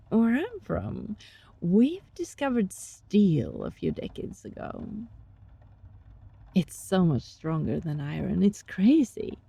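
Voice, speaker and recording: A woman playing a gentle, sly young fox-spirit student in an intimate ASMR roleplay, speaking softly and breathily in a coaxing, teasing way. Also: mid-range voice, very animated, slow, no echo, exaggerated voice. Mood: friendly, relieved. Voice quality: soft, breathy.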